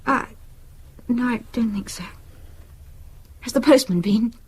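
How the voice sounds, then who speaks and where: A woman's voice: a little high, measured pace, a little mellow, slightly rough. An actress playing a groggy, prickly mother who is unwell, in a radio drama. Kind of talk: British radio drama acting.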